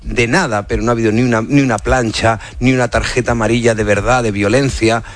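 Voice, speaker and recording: Male football pundit, Spain accent, fast emphatic delivery that hammers phrases by repetition. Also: mid-range voice, fairly even intonation. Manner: emphatic, repeats phrases for stress. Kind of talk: unscripted sports debate.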